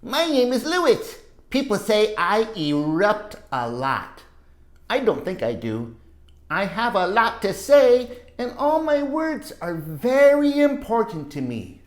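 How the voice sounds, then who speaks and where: A woman voicing an excitable, impatient young schoolboy in a picture-book story, with exuberant delivery. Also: mid-range voice, slow. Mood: self-important, earnest. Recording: roomy echo.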